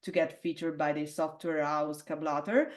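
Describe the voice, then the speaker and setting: Woman with a low alto voice. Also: steady tone, normal speed. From Italy. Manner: hesitant, many fillers and restarts. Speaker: metal singer, interview guest. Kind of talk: casual interview conversation.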